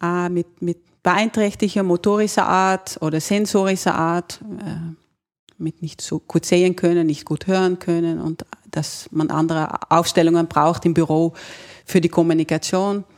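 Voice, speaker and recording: Dutch-accented woman, native Dutch speaker, explaining her field in a relaxed interview, pausing for words. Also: lowish voice. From Netherlands. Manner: thoughtful, searching for words, occasional grammar slips. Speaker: ergonomist, guest. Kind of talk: conversational interview.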